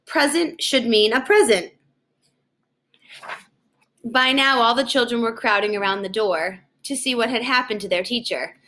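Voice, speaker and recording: A woman narrating a children's book aloud in an expressive storytelling style. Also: fairly high pitch, normal speed, long pauses, slight background noise. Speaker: storybook reader.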